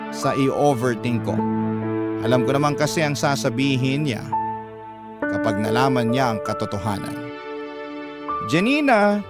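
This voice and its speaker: A man narrating a first-person love story as a radio-style storyteller and host. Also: medium pitch. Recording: music bed under the voice. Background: background music.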